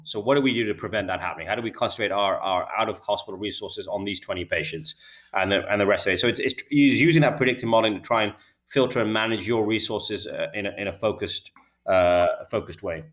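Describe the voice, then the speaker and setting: Brit guy, 30s, talks fast and kinda low, lots of "we, we're" restarts. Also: a bit bright. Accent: British. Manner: fast, with many repeated words and restarts. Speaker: healthcare data company founder. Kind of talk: interview answers.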